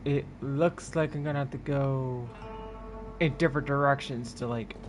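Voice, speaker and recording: Man, mid-pitched voice, game streamer, casual play commentary, clear music in background. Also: steady tone, moderate pace.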